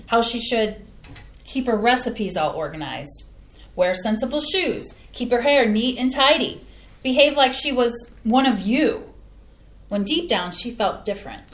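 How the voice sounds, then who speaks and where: A woman's voice: fairly high pitch, unhurried. An actress reading a chatty, earnest middle-aged mother in a staged play reading. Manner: lively, earnest. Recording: echoey room.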